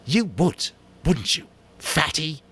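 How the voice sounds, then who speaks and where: A man voicing an arrogant, bullying boy who leads a group of hunters, sneering and loud, in a dramatic audiobook reading. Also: on the high side, big pitch swings, takes it slowly, a little hiss or hum, voice pushed up, heavily acted.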